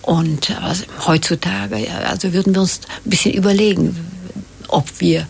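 Husky older woman. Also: on the low side, fairly even intonation, moderate pace. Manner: rambling, many fillers and restarts. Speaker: interview guest. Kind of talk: casual conversational interview.

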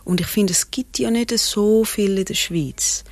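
A woman's voice: mid-range voice, measured pace, darkish tone, no echo. A woman with a Swiss German accent, an actress guest chatting casually, laughing often and mixing in English phrases. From Switzerland. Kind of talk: casual interview chat.

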